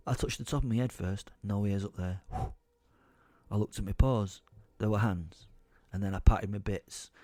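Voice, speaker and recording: A man's voice: fairly low pitch, a little mellow, no echo, natural voice. An actor playing a foul-mouthed, ranting comic werewolf who narrates his own audio drama in a theatrical, rambling, sweary way. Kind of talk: dramatised first-person storytelling. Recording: music under the voice.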